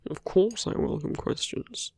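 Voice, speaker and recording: A man playing a suave, persuasive villain in an ASMR roleplay, speaking in measured rhetorical questions and slipping into near-whispers. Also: darkish tone, no room sound, natural voice. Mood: teasing, probing. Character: suave male villain, persuasive, teasing, self-justifying. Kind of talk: ASMR roleplay audio drama.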